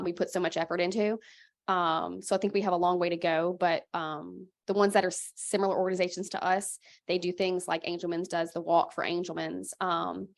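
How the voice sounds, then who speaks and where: A woman on a conference panel who speaks in a halting way, with many pauses and fillers. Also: on the low side. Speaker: panelist, foundation leader. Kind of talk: casual panel talk.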